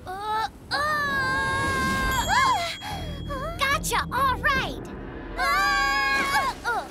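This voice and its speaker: A very high-pitched cartoon polar bear cub with a slow, careful delivery. He is hesitant and nervous, with children's music behind him. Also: animated, raised voice, exaggerated voice. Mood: panicked. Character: cautious boy polar bear cub, afraid of heights. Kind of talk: cartoon voice acting.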